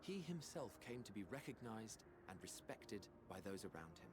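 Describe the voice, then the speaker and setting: A man's voice: on the low side, sing-song, moderate pace, background noise. A man playing a genial, self-effacing mage in a video game, talking in a playful, formal storyteller's manner. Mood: fond, reflective. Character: genial, self-effacing male mage, fondly admiring his friends. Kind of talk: scripted video game voice acting. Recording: music under the voice.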